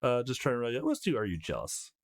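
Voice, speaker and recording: A man hosting a let's play, reading a visual novel aloud with commentary in an animated way. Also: medium pitch, rapid-fire. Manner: acts out lines in an animated way.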